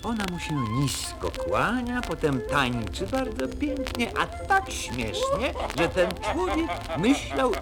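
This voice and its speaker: A man narrating a rhymed children's story in an expressive, theatrical way. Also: a little high. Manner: expressive, theatrical verse reading. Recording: vinyl record, loud music behind. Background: music.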